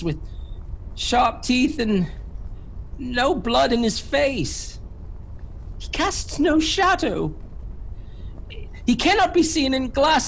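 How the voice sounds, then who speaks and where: A frightened young man alone in a castle in a horror radio play. He speaks slowly, stumbling and repeating himself in dread. Background ambience sits behind the voice. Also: very high-pitched, a bit bright, full character voice, poor audio. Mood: haunted dread. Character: terrified young man trapped in castle, writing his journal. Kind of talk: horror radio drama monologue. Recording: clear background noise and ambience.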